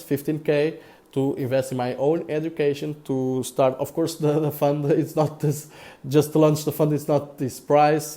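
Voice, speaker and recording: A man from Portugal with a Portuguese accent, talking casually on a live broadcast, halting with many fillers and repeated words. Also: medium pitch, warm tone. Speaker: crypto investor vlogger.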